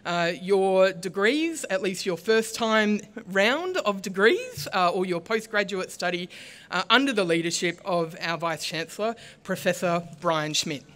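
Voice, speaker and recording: Clear-voiced woman at the lectern. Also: medium pitch, lively intonation, unhurried, fairly bright tone. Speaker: master of ceremonies. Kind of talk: formal welcome speech. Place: outdoor stage at a large ceremony. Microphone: lectern microphones.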